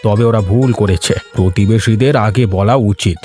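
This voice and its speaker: A man's voice: lowish voice, moderate pace, darkish tone, faint music behind, close to an everyday voice. A man voicing a frank, familiar older villager in a cartoon story. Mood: chatty, probing. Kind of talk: Bengali moral-story cartoon voice acting.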